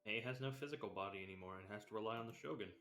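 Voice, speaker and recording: A man's voice: lowish voice, very fast, reverberant, noisy background. A man streaming a video game, reading dialogue aloud and reacting to it.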